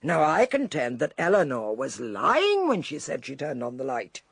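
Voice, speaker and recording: An actress playing a shrewd, eccentric older British lady detective in a radio drama, theatrical, deliberate and drily amused. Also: low voice, wildly swooping pitch, gravelly, husky, dry recording, exaggerated voice. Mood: shrewd, explaining.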